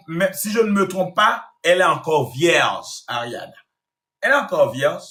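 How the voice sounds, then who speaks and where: A man from Haiti lectures his audience in a long, scolding rant, repeating phrases to hammer his point home. His voice is bright and a touch high. The room around him echoes. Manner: scolding, repetitive, addresses the audience directly. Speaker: online commentator. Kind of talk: ranting monologue to viewers.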